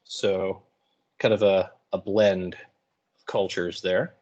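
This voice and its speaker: A male English teacher with a fairly low voice talks through a lesson informally. His phrasing is colloquial and wry, more like explaining to students than reading a script. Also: level tone, takes it slowly, darkish tone, highs cut off. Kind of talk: informal lecture commentary.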